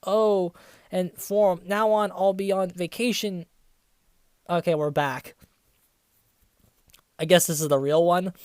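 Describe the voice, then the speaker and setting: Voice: mid-range voice, fairly even intonation, slow pace, pauses often, a little mellow, clean tone, dry recording. Reviewer, twenties, mid-range voice, mocking dramatic reader with sarcastic commentary, dry close recording. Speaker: online fanfiction reviewer.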